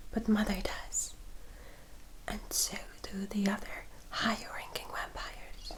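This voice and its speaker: A woman playing a sweet, dutiful young vampire sister in a whispered ASMR roleplay, speaking softly, tenderly and a little hesitantly. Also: mid-range voice, lightly acted, poor audio. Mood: caring, attentive. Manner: soft, hesitant, tender, unhurried.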